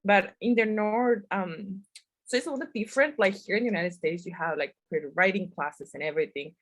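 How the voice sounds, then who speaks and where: A woman's voice: mid-range voice, brisk, no room sound, low-quality recording. A woman from northern Mexico with a Mexican accent, a writer answering interview questions in a chatty, self-deprecating way and laughing often. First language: Spanish. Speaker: guest writer.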